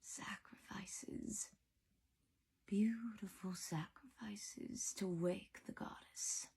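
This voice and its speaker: A woman voicing a sinister, gloating underworld spirit in a storybook, in a drawn-out breathy whisper. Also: medium pitch, fairly even intonation, dark tone, pitched above the performer's own voice, heavily acted. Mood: gloating menace.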